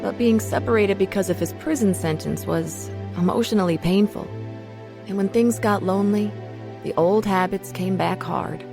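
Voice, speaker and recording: A woman's voice: mid-range voice, moderate pace, vocal fry, over music, natural voice. An actress playing a troubled, addicted wife and mother, narrating her past confessionally in a radio drama. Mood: regretful, confessional. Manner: confessional, scripted storytelling.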